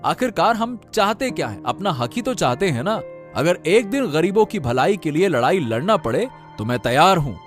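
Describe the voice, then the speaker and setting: A man voicing a rousing village leader, high and lively. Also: moderate pace, faint music behind. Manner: earnest, rousing. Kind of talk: cartoon story voice acting.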